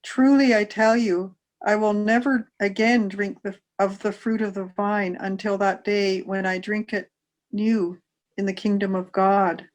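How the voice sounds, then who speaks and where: A woman reads scripture aloud at an ordinary middle pitch. The delivery is scripted narration, as from a member of a church taking a turn as reader. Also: level tone, a little slow.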